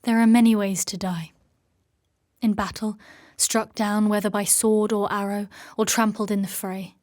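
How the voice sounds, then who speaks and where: An actress playing a grave, guarded warrior woman in a dark fantasy audio drama, speaking in a formal, measured, solemn way. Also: mid-range voice, little pitch movement, no room sound, studio quality, close to an everyday voice. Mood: grim, reflective.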